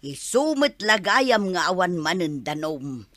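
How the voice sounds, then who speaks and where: A woman's voice: on the low side, big pitch swings, unhurried, fairly bright tone, no room sound. A woman playing a chatty, friendly but readily indignant neighbour, speaking emphatically in a comic radio drama. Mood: aggrieved.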